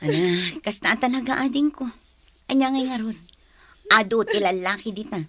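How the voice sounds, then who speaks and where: A woman's voice: on the high side, normal speed, fairly bright tone, dry recording. A woman playing a caring, probing older sister in an Ilocano radio drama, with a Filipino accent. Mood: consoling.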